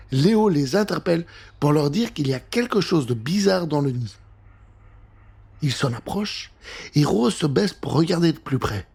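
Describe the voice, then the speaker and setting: A man narrating a children's story in an expressive, theatrical and conspiratorial way, speaking straight to the listener. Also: fairly high pitch, slightly rough. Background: music.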